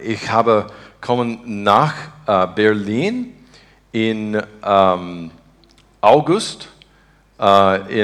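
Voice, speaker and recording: An American man preaches and prays with earnest devotion in a lowish voice. His delivery is extremely slow and halting. As a native English speaker, he searches for each word and builds broken, simple sentences with an American accent. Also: a bit bright. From Texas, United States. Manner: halting, searching for words in broken beginner German; earnest, devout. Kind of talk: informal sermon and spontaneous prayer.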